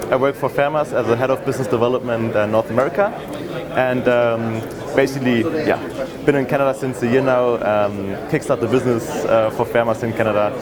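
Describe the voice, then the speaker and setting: A man from Germany with a German accent answers interview questions at an easy pace. He talks in a relaxed way with frequent ums and uhs. A crowd chatters clearly behind him in a busy hall. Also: mid-range voice, a little fast. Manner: relaxed, many fillers like um and uh. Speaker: interviewee, business development head. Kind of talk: conversational interview.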